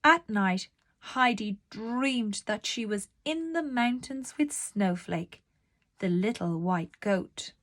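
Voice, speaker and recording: A woman narrating a children's storybook aloud in a deliberate, expressive storytelling manner. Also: mid-range voice, dry recording, clean studio sound.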